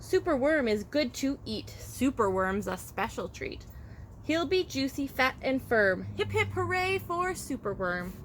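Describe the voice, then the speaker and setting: A woman's voice: sits high, very animated, unhurried, a bit bright, a little hiss or hum, heavily acted, low-quality recording. Storybook crow henchman, read by a woman: grim, menacing, gloating, chanted delivery. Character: grim, menacing servant crow hungry for worms. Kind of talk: children's storybook read-aloud.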